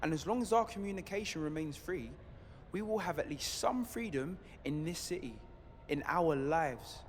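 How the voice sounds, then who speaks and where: Casting: male actor for determined rebel, unusually high male voice, intense measured delivery, long reverb behind. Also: expressive, close to an everyday voice. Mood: quiet conviction. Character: earnest, determined male rebel in dystopian city. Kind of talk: audio drama dialogue. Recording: long reverb, faint background noise.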